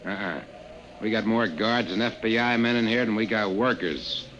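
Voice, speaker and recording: Gruff, forceful radio drama guard played by man. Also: on the low side, normal speed, lightly acted, poor audio. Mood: brusque, worldly. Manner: clipped, colloquial, matter-of-fact. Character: gruff, blunt working-class male security guard. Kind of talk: old-time radio drama acting. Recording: vintage radio, faint noise.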